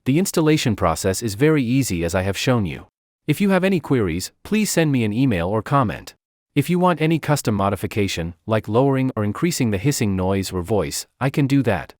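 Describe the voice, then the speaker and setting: A man giving a scripted software tutorial as a narrator, step by step. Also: lowish voice, sing-song, fairly quick, dry recording, clean studio sound.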